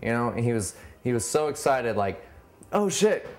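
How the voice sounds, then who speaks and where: A man's voice: mid-range voice, fairly quick, soft background music. Drunk man rambling through a story. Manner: rambling, profane, trails off and restarts. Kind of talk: rambling drunken retelling of history.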